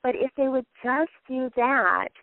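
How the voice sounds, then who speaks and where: A woman's voice: sits high, unhurried, no room sound. A woman speaking on a telephone conference call, giving earnest, conversational business advice and explaining it step by step. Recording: narrow, tinny telephone conference line.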